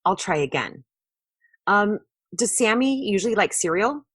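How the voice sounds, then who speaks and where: A woman's voice: mid-range voice, lively intonation, a little slow, a bit bright, husky, no echo, clean studio sound, pitched above the performer's own voice. A woman voicing a curious, easily sidetracked young boy asking questions expressively in a children's storybook.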